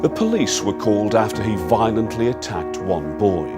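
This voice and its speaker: Bloke playing investigator narrating, low voice, lots of inflection, official, music behind. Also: male voice, expressive, moderate pace, natural voice. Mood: grave, factual. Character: measured male investigator recounting a troubled youth's case. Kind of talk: scripted dramatised case narration.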